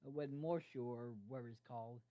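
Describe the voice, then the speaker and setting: An amateur reader narrating a fan fiction story aloud in a halting way, stumbling over words and repeating phrases. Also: medium pitch, steady tone, mellow. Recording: dull lo-fi microphone sound.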